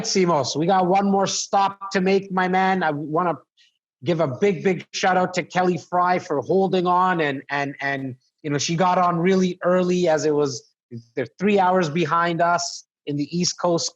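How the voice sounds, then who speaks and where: A man's voice: fairly high pitch, dull audio. A man co-hosting a video call panel, enthusiastic and prone to repeating himself as he restarts sentences. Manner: enthusiastic, repetitive, stumbling restarts. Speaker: co-host.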